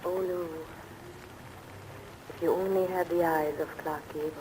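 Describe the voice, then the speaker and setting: Sultry, deadpan saloon woman played by an actress. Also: fairly low pitch, a little slow, natural voice. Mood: teasing. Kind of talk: 1940s radio comedy acting. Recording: noisy old radio broadcast, rain overlaid.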